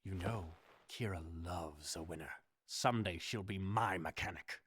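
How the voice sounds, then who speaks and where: Low-voiced, smug villain. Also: male voice, moderate pace, a bit bright, slightly rough, no room sound, studio quality. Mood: smug, gloating. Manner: smug, taunting, theatrical. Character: sadistic, arrogant male racing rival and villain. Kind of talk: video game cutscene acting. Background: music.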